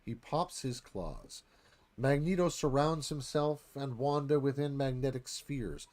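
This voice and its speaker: A man's voice: mid-range voice, a little slow, a little mellow. A man narrating stage directions in a live dramatic screenplay read.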